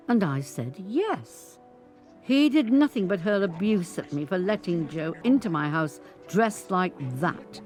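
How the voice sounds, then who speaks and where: An elderly grandmother delivers a reflective audio drama monologue with music behind her. Her pitch moves expressively as she speaks. She is measured, frank and resolute, stressing her points firmly. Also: darkish tone. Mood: indignant. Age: 80s. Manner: measured, emphatic, reflective storytelling. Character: resolute 85-year-old grandmother recounting her life with candour. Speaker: monologue performer. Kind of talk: dramatic first-person monologue narration. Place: recording studio.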